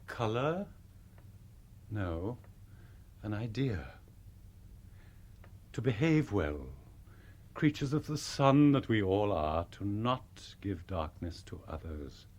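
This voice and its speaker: Solemn, slow-spoken alien giant. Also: male voice, on the low side, animated. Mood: solemn, wise. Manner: solemn, poetic, deliberate delivery. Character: nine-foot green telepathic alien, gentle, wise and amused. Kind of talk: 1960s radio drama acting.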